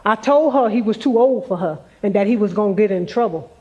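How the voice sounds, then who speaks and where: A man's voice: high-pitched, a little fast, darkish tone, dull audio, lightly acted. A man playing a protective, plain-spoken grandmother in a stage play, folksy and weary. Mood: weary, caring.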